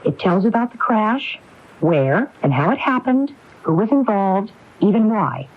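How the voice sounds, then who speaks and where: An actress playing a determined, anguished widow in a radio mystery drama, speaking in an insistent, probing way. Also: female voice, medium pitch, very animated, a little slow. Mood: pleading, weary. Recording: dull lo-fi AM radio broadcast recording.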